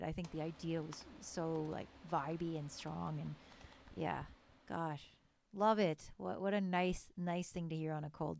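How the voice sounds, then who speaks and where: A young woman with a low voice, casually giving detailed, enthusiastic music mixing feedback on a live stream. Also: creaky, darkish tone. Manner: enthusiastic, detailed mixing tips with many fillers. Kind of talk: casual technical feedback chat.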